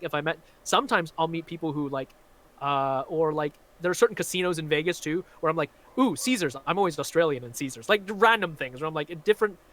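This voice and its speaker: A man's voice: on the high side, a bit bright. Man with a faint Glaswegian accent. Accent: faint Glaswegian mixed with American. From Scotland. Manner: chatty, rambling, many fillers. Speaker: magician. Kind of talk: casual live-stream chat.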